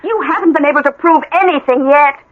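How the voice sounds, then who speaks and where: An actress playing an impatient, defensive small-town wife in an old-time radio drama, speaking emphatically. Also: female voice, sits high, quick pace, faint music behind, natural voice. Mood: exasperated. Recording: tinny, phone-like old radio recording.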